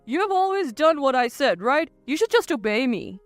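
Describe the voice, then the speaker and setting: A bullying, entitled brother in a family drama story, played by a woman in a high voice. The delivery is blustering and domineering, with lively swings in pitch, recorded dry over faint music. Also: moderate pace, no room sound. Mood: angry, domineering. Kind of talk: acted dialogue in narrated story.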